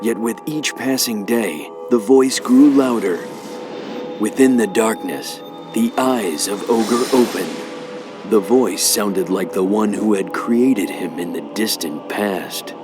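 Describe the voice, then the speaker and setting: A man as a solemn storyteller, giving measured, dramatic scripted narration for video game cutscenes. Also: fairly low pitch, music in the background. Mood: solemn, ominous. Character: solemn omniscient male storyteller of dark legends.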